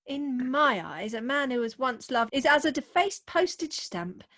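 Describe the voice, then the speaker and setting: High-pitched young bride played by an actress, sentimental and jealous, theatrically earnest Victorian comedy style for radio. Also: female voice, animated, measured pace, bright, forceful tone, exaggerated voice. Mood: high-minded, reproachful. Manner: mannered, declamatory, earnest. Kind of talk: theatrical comic radio play acting. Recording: remote home video-call recording.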